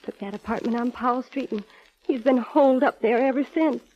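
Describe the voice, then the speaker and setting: A woman's voice: a little high, brisk, natural voice. An actress playing a mousy, anxious young woman, hesitant and pleading, in 1940s radio drama style. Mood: worried, subdued. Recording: muffled vintage radio recording.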